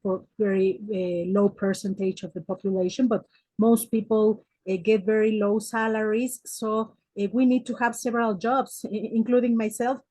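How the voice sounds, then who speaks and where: A woman in her forties from Mexico City with a Mexican Spanish accent, a native Spanish speaker answering interview questions as a psychotherapist, pausing often on "eh" and restarting phrases. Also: medium pitch, level tone, dark tone, no echo, low-quality recording. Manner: hesitant, many "eh" fillers and restarts. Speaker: psychotherapist guest.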